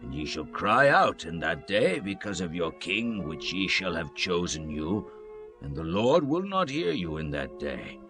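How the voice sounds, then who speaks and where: A solemn prophet of ancient Israel, acted by a man, low in pitch and full of authority. He declaims with sweeping, expressive intonation in a biblical audio drama. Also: a little slow, over music, exaggerated voice. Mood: stern warning. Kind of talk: dramatized scripture reading.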